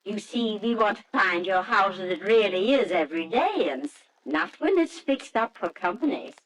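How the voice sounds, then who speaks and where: An actress playing a formal, businesslike adoption agency official in a 1940s radio drama, speaking with measured, polite firmness. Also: female voice, mid-range voice, animated, fairly bright tone, lightly acted, poor audio. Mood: formal, brisk.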